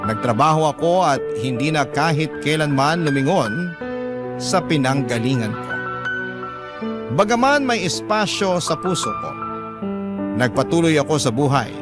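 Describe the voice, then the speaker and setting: Male radio storyteller, Filipino accent, dramatic letter reading, higher-pitched voice, music underneath. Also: lively intonation, measured pace, pauses often. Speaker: radio host and story narrator. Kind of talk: dramatic scripted narration of a listener's letter. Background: background music.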